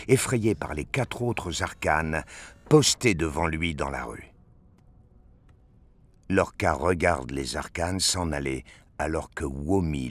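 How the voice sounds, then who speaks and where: A man's voice: rumbling low, breathy edge, no echo. A man narrating a sci-fi audio drama in a scripted, dramatic storytelling style.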